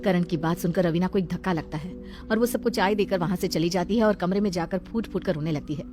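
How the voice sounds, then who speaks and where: A woman's voice: on the low side, fast. A woman telling a scripted dramatic story as the storyteller. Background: background music.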